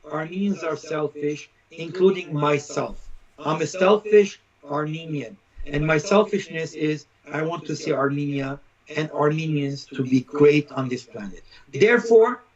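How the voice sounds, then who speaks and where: A man's voice: on the high side, muffled recording. A man speaking as an interview guest and social critic, emphatic and declarative, building his points in repeated lists. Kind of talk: opinionated live interview talk.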